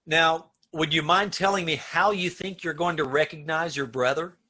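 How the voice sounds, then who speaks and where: Bright, husky-voiced frank prison warden acted by a man. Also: a little high, steady tone, moderate pace. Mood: frank, doubtful. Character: frank, doubtful but obliging male prison warden. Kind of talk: amateur old-time radio play acting.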